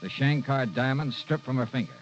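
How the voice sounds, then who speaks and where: Guy playing hardboiled radio detective, wry clipped narration, medium pitch, music behind, muffled old recording. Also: a little fast, natural voice. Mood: tense. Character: wry, hard-boiled freelance insurance investigator narrating his case. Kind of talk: 1960s radio noir narration. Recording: dull vintage radio recording.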